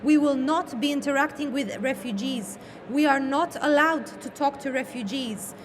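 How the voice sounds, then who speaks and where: A woman's voice: high voice, normal speed. A woman speaking as a panel moderator, emphatic and often repeating words for weight. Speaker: session host and moderator. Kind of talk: panel discussion remarks. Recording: noisy live event room.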